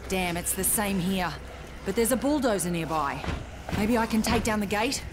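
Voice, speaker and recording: A woman's voice: mid-range voice, expressive, moderate pace, noisy background, close to an everyday voice. An actress playing a tough, foul-mouthed woman survivor in a zombie game, with a blunt, brash manner. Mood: determined. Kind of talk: video game cutscene acting.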